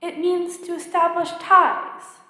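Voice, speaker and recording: A woman voicing a lonely, wistful storybook fox in an earnest, gently dramatic way. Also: extremely high pitch, little pitch movement, slow, voice pushed up, heavily acted. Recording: echoey hall with long reverb.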